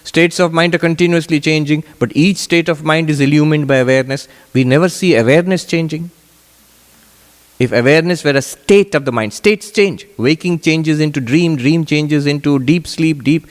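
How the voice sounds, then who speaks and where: Guy teaching vedanta like a monk, deliberate and emphatic, voice on the higher side, big echoey hall. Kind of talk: teaching Vedanta, answering audience questions. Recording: echoey hall with long reverb.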